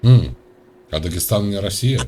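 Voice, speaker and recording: A man from Russia hosting a chat, needling and mocking the person he talks to in a deadpan way. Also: lowish voice, normal speed, noisy background. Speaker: host. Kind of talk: mocking video-call chat.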